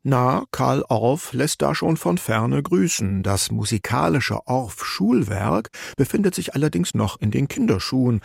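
A man's voice: mid-range voice, vocal fry, slightly rough, no echo, clean studio sound. A man presenting a radio history program with a wry, conversational scripted commentary.

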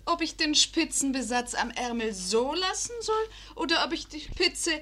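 A woman's voice: sits high, animated, normal speed, pushed and bright. An actress playing an empty-headed young provincial lady in a radio comedy, whiny, affected and rambling. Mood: affected, vapid. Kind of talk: German radio play comedy acting.

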